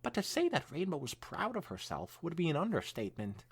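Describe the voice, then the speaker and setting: Very animated, slightly husky male reader. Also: on the high side, brisk. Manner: expressive reading, stumbling over words. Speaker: podcast co-host reading fan fiction. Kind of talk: dramatic read-aloud mixed with casual chat.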